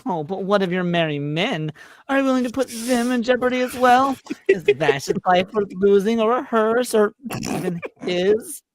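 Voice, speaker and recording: A woman's voice: mid-range voice, lively intonation, normal speed, dry recording, lightly acted. A woman voicing a smug, taunting male trickster who poses as a sheriff, with theatrical mock courtesy, in a live script read. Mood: goading. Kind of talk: live amateur script reading.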